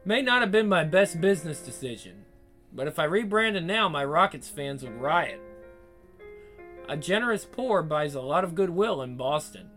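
A man voicing a gruff, proud older bar owner who talks loud and blunt, reading a visual novel. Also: on the high side, very animated, normal speed, faint music behind.

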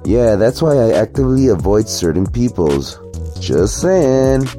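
A man's voice: lowish voice, big pitch swings, unhurried, dark tone, soft background music. A man giving a casual, opinionated monologue in a blunt, sarcastic manner with plenty of swearing. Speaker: commentary vlogger.

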